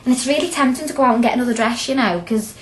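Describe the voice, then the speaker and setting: Woman acting as young working-class student, Liverpool accent, higher-pitched, outspoken, feisty, radio drama. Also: racing, close to an everyday voice. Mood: chatty, reflective. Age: young adult. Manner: chatty, outspoken, colloquial.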